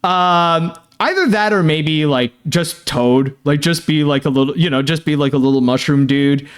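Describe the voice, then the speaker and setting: A young adult man hosting a casual video, reading fan mail in a halting, earnest way full of fillers. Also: fairly high pitch, smooth. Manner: halting, filler-heavy, many "uh" and "like"; earnest, thanking and sympathetic. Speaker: host.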